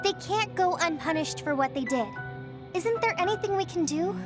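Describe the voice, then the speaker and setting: A young female soldier in a game cutscene, with a very high, girlish voice. She sounds earnest and resolute, and loud music plays behind her. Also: expressive, moderate pace. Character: earnest, determined young woman soldier with fire powers. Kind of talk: English video game cutscene voice acting.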